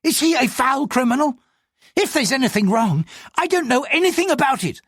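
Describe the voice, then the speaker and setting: A narrator voicing a nervous, fawning middle-aged male businessman who pleads in a high, whiny voice. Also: big pitch swings, normal speed, fairly bright tone, husky, no room sound, clean studio sound, exaggerated voice. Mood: pleading.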